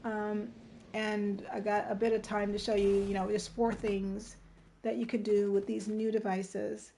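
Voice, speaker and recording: The woman you'd find on a late livestream walking viewers through a game-building tool, informal, soft-toned and a little hoarse from talking. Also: mid-range voice, level tone, measured pace, slight background noise. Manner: informal, step-by-step explaining, checking in with "right?" and "okay?". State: slightly hoarse, strained voice. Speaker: livestream tutorial host. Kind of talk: casual game-design tutorial.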